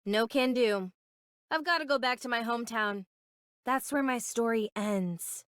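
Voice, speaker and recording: An actress playing an easygoing, self-deprecating young street urchin turned soldier in a video game, with casual, flippant bravado. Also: female voice, fairly high pitch, unhurried, fairly bright tone, no room sound, studio quality. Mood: resigned, reflective.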